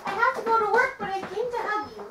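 A woman's voice: very high voice, animated, quick pace. A woman saying goodbye to her children before heading to work, chatting casually and laughing often in a home kitchen. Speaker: mother leaving for work. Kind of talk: casual family chat. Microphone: camera resting on a counter, far off. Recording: roomy, distant, loud background noise.

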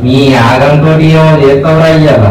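A man's voice: on the high side, steady tone, moderate pace, a little mellow, recorded from a distance, natural voice. A man playing a sensible, sceptical coal miner in a colloquial stage play. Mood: cautioning. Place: open-air stage, distant camera. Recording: echoey stage from afar, crowd noise.

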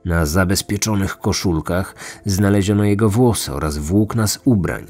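A man's voice: deep voice, normal speed, a little mellow. A man hosting a true-crime podcast, reading scripted narration. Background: music.

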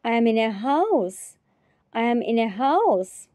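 Slow, careful woman teacher. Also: on the high side, sing-song, dark tone, no echo. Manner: repeats each phrase three times, carefully. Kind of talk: slow repetition drill.

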